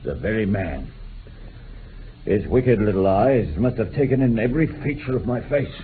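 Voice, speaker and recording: An actor playing a remorseful, dying old former bushranger in a radio drama, making an impassioned, halting confession. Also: male voice, lowish voice, animated, lightly acted. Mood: bitter. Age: older. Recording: muffled phone-like old radio recording, hiss.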